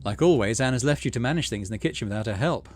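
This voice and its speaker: A man's voice: mid-range voice, expressive, racing, a little hiss or hum. A man giving casual playthrough commentary on a game, thinking aloud and reading its story text out. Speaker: gaming channel host.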